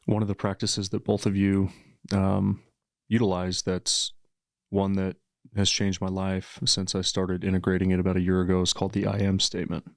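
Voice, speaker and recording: Calm, very deep, gravelly man. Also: moderate pace, no room sound. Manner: calm, soothing. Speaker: podcast host. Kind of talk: measured interviewing and reciting.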